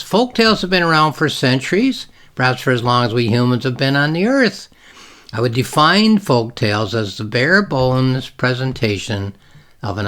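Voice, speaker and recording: Give this voice to a man presenting literature he wrote himself. He speaks at an average male pitch, phrasing things deliberately and leaning into dramatic emphasis on the read passages. His explanations are informal, like an author talking a viewer through the pages. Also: a bit bright. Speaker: novelist reading from and explaining own novel.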